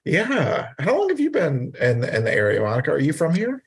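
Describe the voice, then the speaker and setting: Man from Louisiana, podcast co-host, rough and gravelly, quick to laugh. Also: on the high side, wildly swooping pitch. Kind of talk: casual chat.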